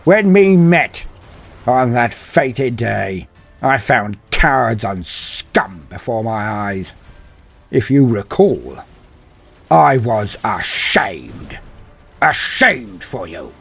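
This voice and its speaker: A man playing a fervent war priest who rallies battered soldiers with declamatory, drawn-out oratory in an audio story. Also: medium pitch, big pitch swings, vocal fry, husky, faint music behind, voice pushed up, exaggerated voice. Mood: stern, solemn.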